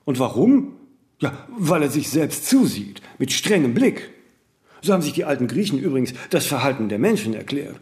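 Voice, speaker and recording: Male actor for radio drama: eccentric, opinionated star, very lively intonation, emphatic run-on speech with tag questions. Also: medium pitch, natural voice. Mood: self-assured, lecturing. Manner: fast-flowing, self-correcting, emphatic, peppering sentences with "ne?". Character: eccentric, vain, disciplined celebrity man, opinionated monologuist. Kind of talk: radio play dialogue, conversational interview answers.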